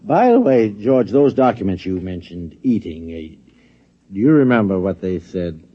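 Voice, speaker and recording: A man's voice: on the low side, very animated, a little slow. A man playing a genial government official who coaxes and placates in an American accent, hesitant and repeating himself, in a 1950s radio drama. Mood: coaxing, genial. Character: genial, coaxing government man plying a guest for secrets. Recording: muffled old radio broadcast recording.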